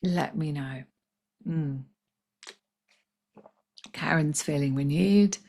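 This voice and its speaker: A woman with a slightly croaky voice, hosting a live spiritual healing session in a gentle, chatty way and reading out viewers' comments. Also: deep voice, very slow. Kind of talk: casual live-stream talk.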